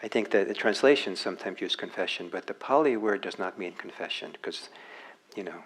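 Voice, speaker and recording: A man giving a dharma talk as a meditation teacher, speaking reflectively with frequent pauses and restarts. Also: low voice, breathy edge. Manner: halting, reflective, many restarts. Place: meditation hall.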